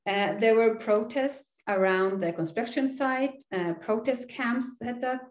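A woman's voice: fairly high pitch, measured pace, echoey room. A middle-aged woman with a Norwegian accent gives a recorded online lecture over slides, earnest and explanatory, with frequent "uh" fillers. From Norway. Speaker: university lecturer. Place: small indoor room.

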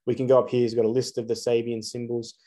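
A man's voice: mid-range voice, monotone, fast talker, dark tone. A man, giving an earnest solo spiritual talk to camera, asking rhetorical questions with emphasis. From Australia. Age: 40s. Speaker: astrologer.

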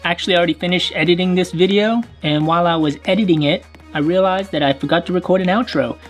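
A woman's voice: on the low side, fast, faint music behind. A woman gaming host talking casually to viewers in a chatty, unpushy way. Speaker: host of a gaming roleplay channel. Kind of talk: casual vlog outro.

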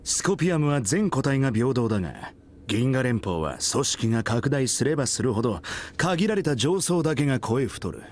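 An actor playing a brash, outspoken starship captain who talks bluntly and casually in a game cutscene. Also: male voice, medium pitch, very animated, moderate pace, darkish tone, slight background noise, lightly acted. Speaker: ship captain.

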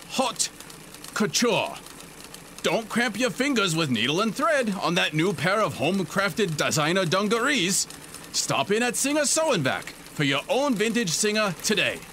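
A zany radio commercial pitchman for a comic audio drama, punchy and over-eager. His bright, forceful voice swings extremely widely in pitch, with faint noise behind it. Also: heavily acted. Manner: punchy, exaggerated salesman delivery.

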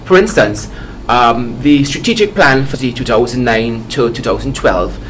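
Faint background noise, young man, mid pitch, formal panel guest, measured, diplomatic. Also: a bit bright. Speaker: panel guest, student leader. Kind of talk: formal interview answer.

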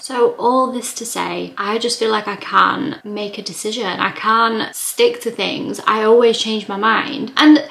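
Croaky young woman chatting rambly. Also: mid-range voice, a bit bright. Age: 20s. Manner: chatty, rambling, frequent "like" fillers. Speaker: vlogger. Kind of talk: casual chat to camera. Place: bedroom indoors. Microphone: camera at desk distance.

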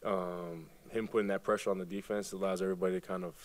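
A male basketball player giving halting postgame answers with fillers. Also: low-pitched, flat delivery. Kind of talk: postgame press conference answers.

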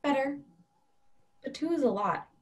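A young woman playing a calm, matter-of-fact woman in a play reading, literal and deadpan. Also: high voice, measured pace. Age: young adult. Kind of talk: script read-through of a one-act play. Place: indoors at home on a video call.

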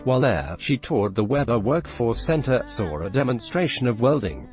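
A man narrating an online news video and reading tweets aloud, handles and dates included, in a flat, even read-out. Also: medium pitch. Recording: music bed underneath.

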